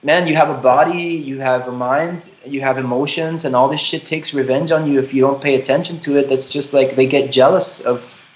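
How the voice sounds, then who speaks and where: A man's voice: medium pitch, fairly even intonation, normal speed, few pauses. A man in his late thirties in a candid conversation, speaking thoughtfully with frequent restarts and casual swearing. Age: 30s. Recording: roomy echo.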